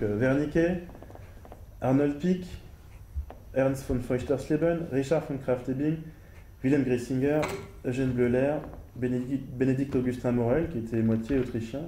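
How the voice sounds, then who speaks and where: A man's voice: medium pitch, warm tone. Hesitant male speaker in an echoey hall. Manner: hesitant, many fillers, careful pronunciation of German names. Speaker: psychiatrist speaker on a panel. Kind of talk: academic conference talk and discussion. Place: large lecture hall. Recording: echoey hall with faint noise.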